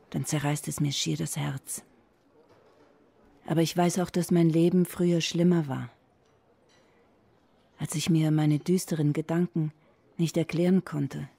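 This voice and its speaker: An actress playing a reflective, burdened middle-aged woman reading her letter in a hushed, introspective way in a radio play. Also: low voice, vocal fry, husky, no room sound, exaggerated voice. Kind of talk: intimate letter monologue in a German radio play.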